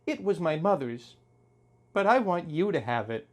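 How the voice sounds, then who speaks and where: A man voicing a gentle young princess, sweet and insistent, in a dramatic reading of a story. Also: on the high side, very animated, normal speed. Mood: sad, tender.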